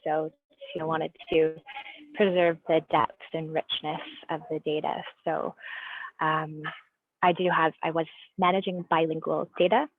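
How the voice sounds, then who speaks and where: Woman presenting over a tinny call line. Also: low-pitched. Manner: measured and explanatory, with small restarts. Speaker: guest speaker presenting research. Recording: tinny phone-like line, dry.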